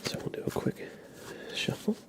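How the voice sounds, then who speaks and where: A man doing a live ASMR stream, chatting casually with viewers in a soft, breathy whisper and rambling conversationally. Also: normal speed. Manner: rambling, conversational, addresses chat by name.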